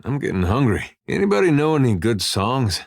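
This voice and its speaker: A man's voice: mid-range voice, very animated, fairly quick, creaky, no echo, studio quality. A man voicing an easygoing, wry farmer turned adventurer for a video game, with a folksy, drily humorous way of talking. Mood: dry, bored.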